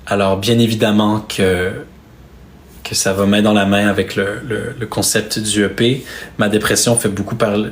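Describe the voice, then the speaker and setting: A young man from Quebec with a Québécois French accent, chatting casually on a live stream with fans. He speaks softly and hesitantly, with many fillers and restarts. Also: fairly low pitch, little pitch movement, moderate pace, slight background noise. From Quebec, Canada. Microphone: phone or webcam on a live stream.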